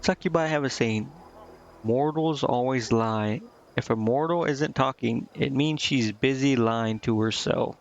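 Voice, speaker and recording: A man voicing an earnest, naive female succubus from a video game, musing and halting as he reads her lines. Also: medium pitch, dull audio, natural voice. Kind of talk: reading video game dialogue aloud.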